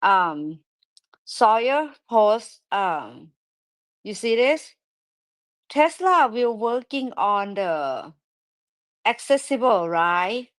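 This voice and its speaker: A woman with a thick Thai accent hosting a live audio chat, speaking broken English in an animated, excitable way. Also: mid-range voice, very slow, long pauses, no room sound. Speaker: host.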